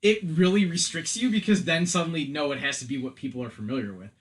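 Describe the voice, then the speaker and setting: A man's voice: fairly high pitch, fast. A man in a casual podcast discussion, talking analytically in a rambling way, often saying "like". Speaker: podcast panelist. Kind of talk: casual film and game discussion.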